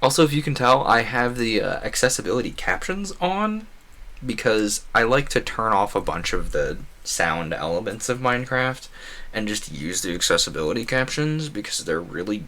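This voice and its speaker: A man's voice: on the low side, big pitch swings, normal speed, pushed and bright. A man doing casual gameplay commentary as a streamer, talking in a rambling, conversational way with frequent asides. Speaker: video game streamer.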